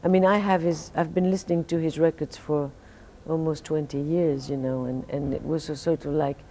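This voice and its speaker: A Belgian woman with a French accent speaks in a low, mellow voice, her answers halting and full of restarts. She sounds sleepy, as though fighting off tiredness, and a faint hiss sits under the recording. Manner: relaxed, halting, many restarts and fillers. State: sleepy, fighting off jet lag. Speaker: singer, interview guest. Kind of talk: casual interview answers.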